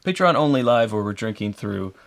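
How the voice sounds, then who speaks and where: A man's voice: lowish voice, expressive, brisk, vocal fry. A man co-hosting a casual live show, easygoing and laughing often. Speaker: co-host.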